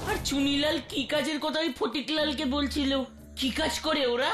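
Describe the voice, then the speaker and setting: A woman's voice: high voice, steady tone, normal speed, fairly bright tone. A woman playing a plucky but anxious young boy in a cartoon, plaintive, questioning and cheeky. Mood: worried. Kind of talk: exaggerated cartoon acting.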